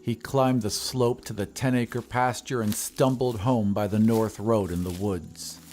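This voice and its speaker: A man giving a grave, measured narration of a scripted horror story in an audio drama. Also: on the low side, steady tone, a little mellow, faint music behind.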